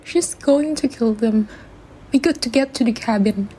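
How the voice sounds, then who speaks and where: A woman's voice: on the high side, moderate pace, mellow, breathy edge, poor audio. A young woman playing an anxious, urgent adult guardian in a student radio drama. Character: worried adult woman, Annabelle.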